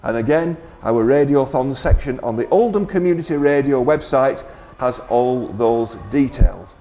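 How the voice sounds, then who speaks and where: A man's voice: mid-range voice, expressive, normal speed, dark tone. A man presenting live community radio, making a fundraising announcement in an informal, hesitant, bantering way.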